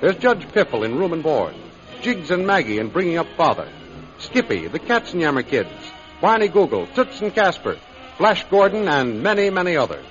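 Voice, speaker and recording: A male announcer from a 1930s radio serial, pitched high and theatrical. He reads with emphasis over music. The recording is dull and dated. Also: big pitch swings, normal speed, a bit bright. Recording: muffled old radio recording.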